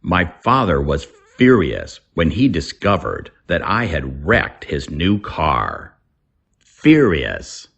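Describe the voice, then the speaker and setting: A man with a very low, gravelly voice reads an English lesson at an unhurried pace. His delivery is deliberate and teacherly, yet his intonation swings widely from phrase to phrase. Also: wildly swooping pitch, a bit bright, dull audio. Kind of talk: scripted lesson narration, slow read-aloud.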